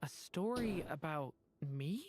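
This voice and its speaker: A woman voicing an earnest, wistful dragonborn youth in a video game, gentle and a little hesitant. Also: sits low, expressive, slow pace, music in the background.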